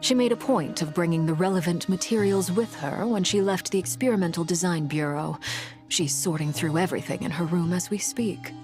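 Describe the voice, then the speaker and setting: Casting: actress as poised formal lady for a game, gracious delivery, lower-pitched voice. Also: fairly quick, darkish tone, soft background music, lightly acted. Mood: composed. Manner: formal, composed. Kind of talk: video game dialogue acting.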